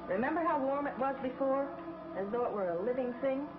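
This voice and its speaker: An earnest space traveler. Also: female voice, sits high, animated, fairly quick, background noise. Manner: earnest, questioning. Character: young woman space traveler, anxious and sharp. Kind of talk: 1950s TV sci-fi acting. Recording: dull old 1950s TV soundtrack, loud music behind.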